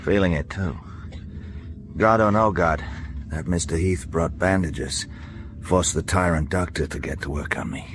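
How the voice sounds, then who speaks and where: An actor playing a weary, ailing middle-aged father held prisoner in a radio drama, secretive and gravelly. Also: sits low, a little slow, background noise.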